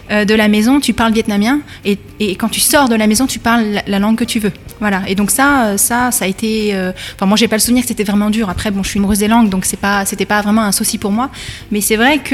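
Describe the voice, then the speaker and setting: A woman in her thirties from France, a guest in a radio interview, talking hesitantly with many fillers and restarts. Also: mid-range voice. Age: 30s. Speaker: guest, bakery school manager. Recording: long reverb.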